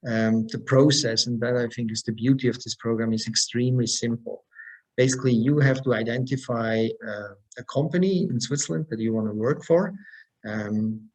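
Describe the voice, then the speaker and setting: A man with a mid-pitched voice gives an informational webinar presentation. He explains things in a measured way, with a promotional slant, as an official representative would. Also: darkish tone, rough recording.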